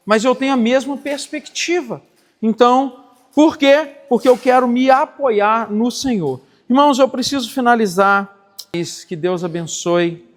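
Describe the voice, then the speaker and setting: A man in his late forties preaches in a church, his voice sitting unusually high for a man. He drives points home by repeating phrases, and the sanctuary's long reverb trails after each line. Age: 40s. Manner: emphatic, repeats phrases for stress. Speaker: pastor. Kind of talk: church teaching sermon.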